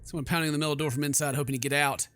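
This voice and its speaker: Bright-voiced sarcastic man doing gaming commentary. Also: on the high side, racing, faint music behind. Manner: sarcastic, animated when incredulous. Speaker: gaming video host. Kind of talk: let's play commentary, reading game text aloud.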